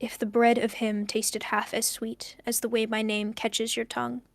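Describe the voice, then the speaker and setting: A woman's voice: on the high side, flat delivery, creaky, no echo, soft background music. A young woman playing a lonely, bookish student recording a hesitant, reflective audio diary for an audio drama. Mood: solemn reciting. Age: young adult. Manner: halting, reflective, trailing off. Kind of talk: intimate audio diary monologue.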